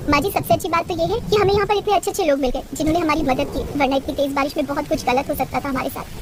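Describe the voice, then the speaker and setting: A woman's voice: sits high, fairly even intonation, rapid-fire, background noise, close to an everyday voice. A woman voicing kindly, earnest women passengers in a children's story cartoon, motherly and reassuring.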